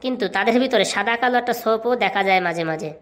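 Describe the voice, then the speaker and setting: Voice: mid-range voice, racing, a bit bright, natural voice. A performer voicing a cocky, touchy overgrown schoolboy in a comic cartoon, boastful, with a rural Bengali dialect. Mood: proud reciting. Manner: boastful, rattling off answers.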